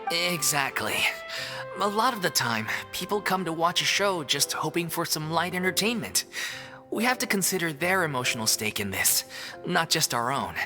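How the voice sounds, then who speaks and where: A man's voice: a little high, big pitch swings, normal speed, music in the background. An actor voicing an earnest male member of a theatre troupe in a video game, telling things expressively. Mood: concerned.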